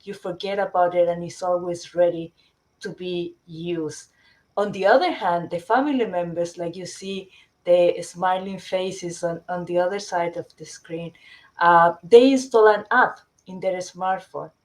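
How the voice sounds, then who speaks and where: A middle-aged woman from Chile, a company founder presenting her product in a webinar, often pausing with fillers and restarting sentences. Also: on the low side, darkish tone. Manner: hesitant, many fillers and restarts.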